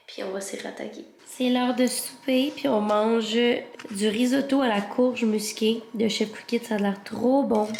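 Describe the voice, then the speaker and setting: A woman's voice: on the high side, a little mellow, roomy sound, a little hiss or hum. A young Québécoise woman vlogging casually about her studies at home, chatty, slipping English words into her French, stuffed up with a cold. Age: young adult. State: congested, sore throat. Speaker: vlogger, student. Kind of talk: casual study vlog talk to camera.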